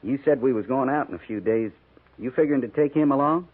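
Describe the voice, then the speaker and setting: An American man playing a gruff, dutiful veteran cavalry sergeant in a 1950s radio western, terse and rough in his grammar. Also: medium pitch, fast talker, natural voice. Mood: dutiful, concerned. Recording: tinny 1950s radio recording.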